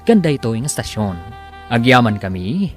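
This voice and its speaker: Animated Ilocano male radio drama announcer. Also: medium pitch, normal speed, soft background music. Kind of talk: dramatic scripted announcing with credits.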